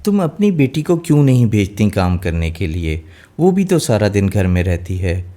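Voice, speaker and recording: आदमी की आवाज़ में धीमे सुर वाला बूढ़ा बाप. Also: male voice, fairly low pitch, sing-song, moderate pace. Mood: reproachful. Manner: gentle, advising. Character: loving, poor, ailing old father.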